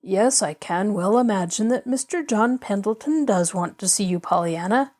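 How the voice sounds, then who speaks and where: A woman voicing a reserved adult country doctor who answers quietly and briefly. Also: mid-range voice, moderate pace, lightly acted. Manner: quiet, clipped replies.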